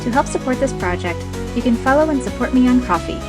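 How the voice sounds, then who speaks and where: A woman's voice: high voice, animated, quick pace, over music. A woman reading scripted narration for a fantasy audio story.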